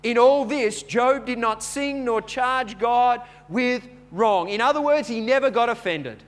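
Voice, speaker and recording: High-voiced male preacher telling stories. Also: measured pace. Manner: conversational, repeats words, acts out dialogue; builds from quiet chat to louder preaching. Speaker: pastor. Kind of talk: sermon with personal storytelling.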